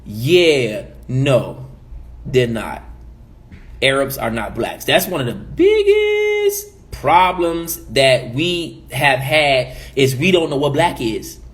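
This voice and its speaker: A man's voice: medium pitch, sing-song, slow. A man from the Caribbean giving emphatic opinion commentary as a vlogger, preachy and repeating his points.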